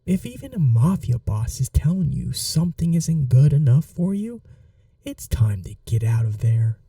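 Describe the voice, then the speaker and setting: A man playing a calm, flirty, protective mafia boss in a soft-spoken ASMR roleplay, unhurried and reassuring with a teasing edge. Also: medium pitch, vocal fry, no echo, recorded from a distance. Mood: firm, protective.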